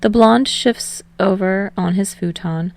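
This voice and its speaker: A woman's voice: mid-range voice, slow, gravelly, darkish tone, no room sound. A woman reading fiction aloud as scripted narration for a podfic.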